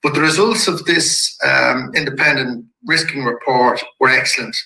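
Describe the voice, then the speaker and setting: A man with a slightly croaky voice from a head cold, a company executive calmly walking shareholders through an investor presentation slide by slide. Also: medium pitch. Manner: measured and businesslike, with occasional restarts. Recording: echoey room.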